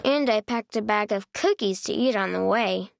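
A woman narrating a children's storybook in an expressive, deliberate way, stretching key words. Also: mid-range voice, gravelly.

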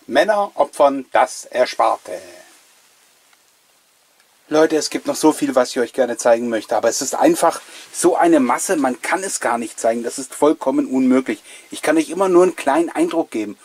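A man's voice: mid-range voice, animated, normal speed, darkish tone, breathy edge. Effusive middle-aged man hosting. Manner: effusive, addressing viewers directly. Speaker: host of a collectors' retro show. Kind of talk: enthusiastic chatty presenting to camera.

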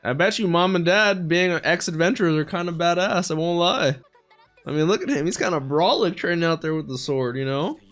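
A young man with a raspy, sick voice chatting excitedly while reacting to an anime in a small home studio, talking into a boom mic. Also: a little fast, faint music behind. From United States. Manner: excitable, chatty, laughing often. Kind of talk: casual anime reaction commentary. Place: small bedroom studio with neon lights. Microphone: studio mic on boom arm, headphones.

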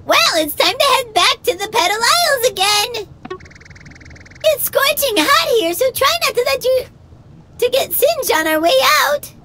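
A woman's voice: very high voice, lively intonation, unhurried, noisy background. A woman voicing a tiny, plucky video game helper creature, earnest, exclamatory and encouraging. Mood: cautioning. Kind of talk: video game character voice acting.

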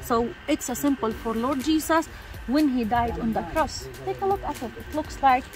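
A woman's voice: sits high, moderate pace, rough recording. A woman, working as a tour guide, explaining a monument and asking the group questions. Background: background music.

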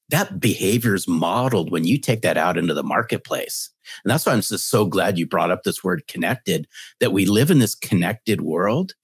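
A man's voice: sits low, husky, dry recording. A middle-aged man co-hosting a podcast over a video call, making points emphatically and repeating them for stress. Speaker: podcast co-host. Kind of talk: podcast interview chat. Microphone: video call with headset.

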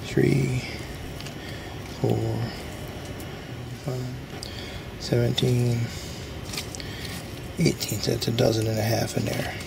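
A man with a heavily gravelly, creaky voice talks casually while he digs for worms in his yard after dark. He is recorded on a handheld camera outdoors. There is clear background noise and outdoor hiss behind him. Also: mid-range voice, slow pace, long pauses. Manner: chatty, excited over big worms. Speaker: backyard gardener and angler. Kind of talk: casual narration while working. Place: backyard outdoors at night. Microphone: handheld camera mic. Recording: noisy outdoor night recording.

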